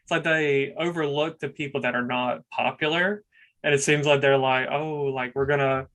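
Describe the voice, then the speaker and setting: A young male podcast host with a monotone, mid-pitched voice. He rambles casually and leans on fillers as he asks his guest questions. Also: normal speed. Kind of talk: casual conversational interview.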